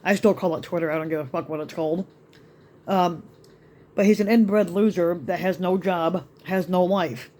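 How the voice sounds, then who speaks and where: Man, slightly raspy, high voice, rambling profane rant, vlog. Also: steady tone, normal speed, darkish tone. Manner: rambling, many restarts and ums, profane. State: slightly raspy voice after being sick. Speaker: YouTube vlogger.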